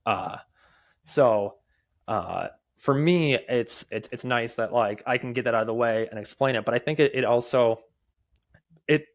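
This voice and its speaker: A young man who stutters, answering interview questions candidly as a podcast guest, with halting restarts, repeated words and many fillers. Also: medium pitch. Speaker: podcast guest, mobile developer and conference speaker.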